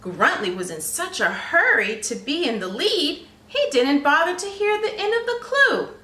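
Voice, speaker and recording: A woman reading a children's storybook aloud in a teacherly, expressive way, pausing to question and explain. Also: sits high. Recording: roomy, echoey, slightly distant microphone.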